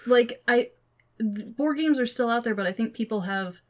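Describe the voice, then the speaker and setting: A woman's voice: fairly high pitch, normal speed. Woman podcast cohost just chatting, informal n opinionated, lots of likes and you knows. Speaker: podcast co-host. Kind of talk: casual chat about games and movies.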